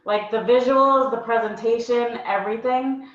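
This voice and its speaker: A woman's voice: fairly high pitch, fairly even intonation, moderate pace, far from the mic. A woman hosting a panel discussion, introducing guests and responding with encouraging praise. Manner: enthusiastic and encouraging. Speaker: host. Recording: roomy, slightly dull sound.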